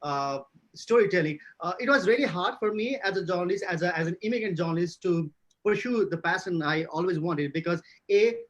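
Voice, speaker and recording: A man's voice: high voice, a little fast, fairly bright tone, muffled recording. A man with a Nepali accent, a journalist answering on a conference panel, stumbling through restarts and fillers. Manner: hesitant, many restarts and fillers.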